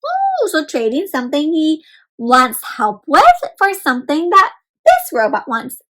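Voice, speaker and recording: A woman's voice: high voice, wildly swooping pitch, unhurried, fairly bright tone. A woman hosting an interactive picture-book read aloud for children, talking directly to them and asking encouraging questions.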